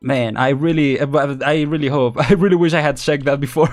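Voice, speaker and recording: A man chatting casually and rambling as he thinks out loud on a live game stream. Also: fairly high pitch, moderate pace, soft background music.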